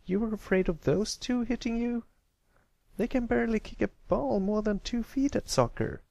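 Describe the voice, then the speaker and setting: A man's voice: high-pitched, expressive, normal speed, gravelly, darkish tone, no echo, lo-fi sound, voice pushed up. A man voicing a brash, tomboyish young girl pony, blunt and scornful, in a story reading.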